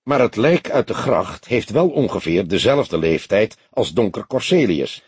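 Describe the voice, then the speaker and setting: Calm, weary old detective voiced by a male reader. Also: on the low side, slightly rough, no echo, heavily acted. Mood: probing. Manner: measured, pensive, terse questioning. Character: grey-haired veteran police detective, calm, brooding, weary.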